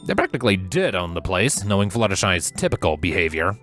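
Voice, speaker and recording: A man's voice: low-pitched, sing-song, a little fast, fairly bright tone, soft background music. A man reading a scripted story aloud as the narrator. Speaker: fan fiction narrator.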